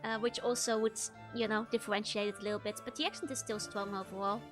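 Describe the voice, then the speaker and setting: A young Dutch woman with a strong Dutch accent and soft R's, chatting casually with her viewers during a game stream. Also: medium pitch, flat delivery, quick pace, over music. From Netherlands. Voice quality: soft R's from a speech impediment. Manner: giggly, chatty, answers chat messages. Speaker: VTuber streamer. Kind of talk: casual chat while playing a game.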